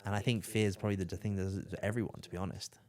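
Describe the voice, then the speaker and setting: A man's voice: deep voice, fairly even intonation, very fast, a little mellow. A young man from the south of England, chatting on a podcast and trailing off with fillers and tag questions. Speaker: podcast host. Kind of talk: casual podcast chat.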